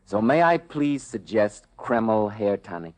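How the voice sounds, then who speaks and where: A man's voice: lowish voice, expressive, measured pace, darkish tone. A man announcing in polished, chummy 1940s radio salesman style. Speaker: announcer and sponsor pitchman. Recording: vintage 1940s radio recording.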